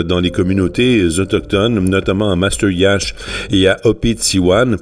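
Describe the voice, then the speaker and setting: A man presenting a news item on the radio with a smooth, steady delivery. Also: on the low side. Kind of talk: news reading.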